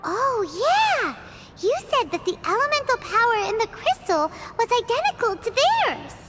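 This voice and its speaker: Bubbly tiny companion, squeaky, voice jumps around a lot. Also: female voice, big pitch swings, normal speed. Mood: excited. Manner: bubbly, exclamatory. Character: tiny floating female companion, excitable, chirpy. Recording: loud game music behind.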